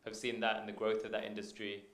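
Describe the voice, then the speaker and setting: A man's voice: lowish voice, completely flat delivery, quick pace, a bit bright. A man in the audience asking a question, hesitant with "um" and "uh".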